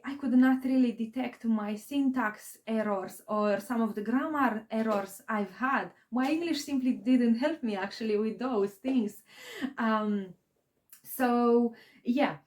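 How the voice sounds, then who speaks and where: A woman's voice: fairly high pitch, unhurried, darkish tone. A woman in her thirties from Romania with a Romanian accent, English as her second language, chatting casually about her writing journey with lots of fillers and restarts. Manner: rambling, many fillers and restarts. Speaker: aspiring novelist vlogger. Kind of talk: casual personal vlog talk.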